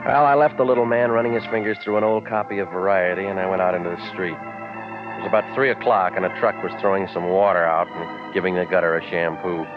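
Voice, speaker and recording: A low-voiced, wisecracking private eye played by a man in a 1940s radio noir. He is terse, deadpan and sardonic, on a muffled vintage recording with music. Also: fast talker, lightly acted. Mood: deadpan narrating. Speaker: narrating detective lead.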